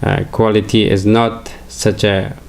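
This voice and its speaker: An older man from Afghanistan with an Afghan accent, a native Farsi speaker, giving a halting religious lecture full of fillers and restarts. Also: lowish voice, flat delivery, vocal fry. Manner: hesitant, many fillers and restarts.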